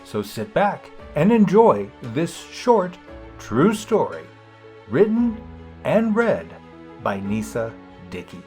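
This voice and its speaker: Slow, swooping male announcer over music. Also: fairly high pitch, huge pitch swings, darkish tone. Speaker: series announcer introducing a story. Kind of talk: scripted rhyming introduction.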